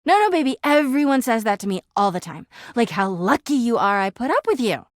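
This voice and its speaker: A woman's voice: on the high side, sing-song, fairly quick, dry recording, studio quality. A woman voicing a clingy, jealous, melodramatic girlfriend character in a video game, with a whiny, volatile manner. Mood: bitter, sarcastic. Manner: dramatic, whiny, emotionally volatile. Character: clingy, jealous, melodramatic female living household object, toxic girlfriend.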